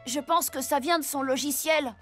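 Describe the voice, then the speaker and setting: An earnest young cartoon boy with a high voice. He sounds sincere and a little downcast, over background music. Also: fairly even intonation, moderate pace, a bit bright. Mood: worried. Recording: music behind the voice.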